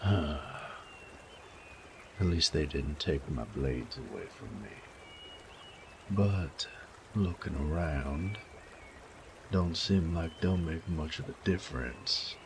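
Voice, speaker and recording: Man playing a very deep, gravelly bandit. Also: expressive, noisy background, full character voice. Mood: wry, complaining. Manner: slow, taunting drawl with folksy, profane phrasing. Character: sadistic male bandit, cocky, teasing, menacing rogue. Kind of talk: intimate roleplay audio drama.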